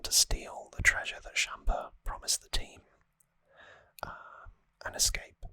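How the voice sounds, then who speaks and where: A person whispering an ASMR recap in a hushed, breathy, conversational way, close to the microphone. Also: dry recording. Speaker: ASMR host. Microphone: close, dry microphone.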